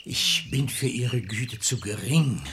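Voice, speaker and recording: An actor playing a sly, pious hypocrite and seducer in a German radio play, speaking rhymed verse in an unctuous, insistent, theatrical way. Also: male voice, medium pitch, animated, slow, a bit bright, a little hiss or hum. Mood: coaxing.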